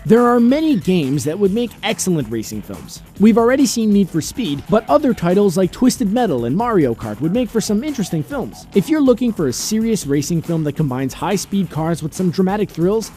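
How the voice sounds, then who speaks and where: A man's voice: high voice, very animated, brisk, few pauses, mellow. A man reading scripted countdown-video narration, recorded close on a mic over background music.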